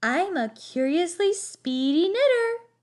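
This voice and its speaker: A woman's voice: very high voice, sing-song, slow pace, pitched above the performer's own voice, full character voice. A woman voicing a cheerful, eccentric female cousin in a storybook, airy and sing-song.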